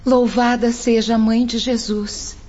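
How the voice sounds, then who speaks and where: A woman's voice: fairly high pitch, flat delivery, unhurried, a little mellow. A Brazilian woman playing a humble, devout young penitent, earnest and pleading, in a radio drama. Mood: grateful, pleading. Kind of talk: Portuguese radio drama acting. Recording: dull lo-fi audio.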